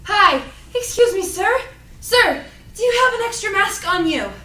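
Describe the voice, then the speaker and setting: A woman's voice: extremely high pitch, animated, unhurried, pushed and bright, far from the mic, full character voice. A woman playing a frightened but defiant young rebel on the run, in a dramatic script reading. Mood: anxious, polite. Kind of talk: dramatic script table read. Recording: echoey room, off mic, music added.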